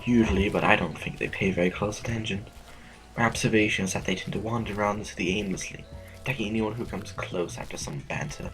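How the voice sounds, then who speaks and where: A man's voice: lowish voice, quick pace, fairly bright tone, faint music behind, rough recording. A man playing a cautious, observant adviser to a general in an audio drama, speaking in a hesitant, analytical way.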